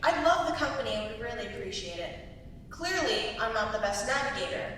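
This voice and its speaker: Woman acting high, lively, scatterbrained traveller. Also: brisk. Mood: bubbly, friendly. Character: friendly, scatterbrained woman traveller named Elpis, chatty and cheerful. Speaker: student actor. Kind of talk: dramatic script reading on stage. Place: large indoor room at a live staged reading. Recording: echoey hall from far off, faint noise.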